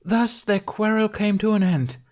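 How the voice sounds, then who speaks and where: Guy doing a fed up young woman acting as a king onstage, high strained voice, grand and declamatory, storybook reading. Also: moderate pace, close to an everyday voice. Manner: strained, declamatory stage delivery. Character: exasperated young woman playing a theatrical King Arthur. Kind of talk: character voice in fan fiction reading.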